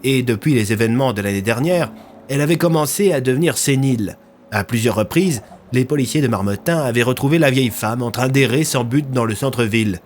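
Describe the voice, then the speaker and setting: A man's voice: medium pitch, a little fast, slight background noise. A man narrating a scripted audio drama as a storyteller.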